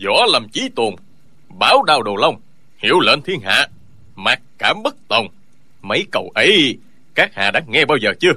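In this audiobook, a man voices a stubborn old man whose bright, forceful voice quavers and swings widely in pitch. He sounds greedy and grasping. The recording is dry and close. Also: big pitch swings, moderate pace, no room sound, exaggerated voice. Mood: fierce. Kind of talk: audiobook character dialogue.

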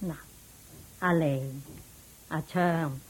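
A woman's voice: low voice, steady tone, very slow, full character voice, poor audio. An actress playing an anxious, practical widowed mother in a radio drama, sighing and scolding, with a Hong Kong Cantonese accent. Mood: practical, firm. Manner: sighing, fretful, scolding at interruptions. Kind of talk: Buddhist radio drama acting.

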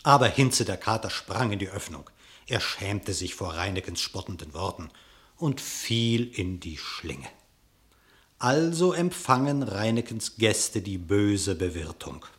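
Animated male verse narrator. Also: mid-range voice. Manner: theatrical, measured declamation. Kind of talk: expressive scripted verse narration for radio play.

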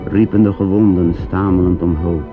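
A man narrating a war drama for radio in a grave, measured, declamatory manner. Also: low voice, steady tone, narrow, tinny audio, lightly acted. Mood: grave, solemn. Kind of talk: solemn scripted radio play narration. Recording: phone-thin old radio recording. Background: music.

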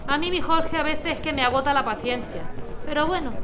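A woman's voice: high-pitched, fairly quick, background noise, close to an everyday voice. An actress playing a doting village mother fleeing war in an audio drama, colloquial and emotive. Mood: chatty. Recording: crowd and faint music behind.

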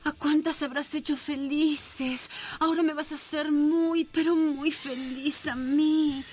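A woman's voice: high voice, steady tone, takes it slowly, a little mellow. An actress playing a brazen, lustful seductive woman in a radio drama.

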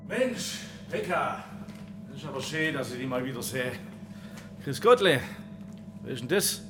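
An actor playing a jovial, quirky fruit researcher, chatty and teasing, in a radio drama. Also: male voice, mid-range voice, expressive, unhurried, music in the background, lightly acted. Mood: friendly, welcoming. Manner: chatty, folksy, teasing, tag questions. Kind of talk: German radio drama acting.

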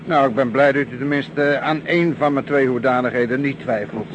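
An actor as a junior detective, noisy background. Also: male voice, medium pitch, fairly even intonation, fairly quick, highs cut off, lightly acted. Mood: eager, wry. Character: obedient, good-humoured male detective who drives. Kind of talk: radio play acting. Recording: noisy radio play with vehicle sounds.

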